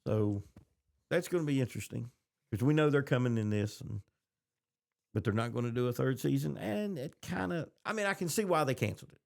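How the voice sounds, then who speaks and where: A man's voice: mid-range voice, animated, brisk, vocal fry. An American man hosting a podcast, chatting casually about TV shows in reaction to them. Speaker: podcast host.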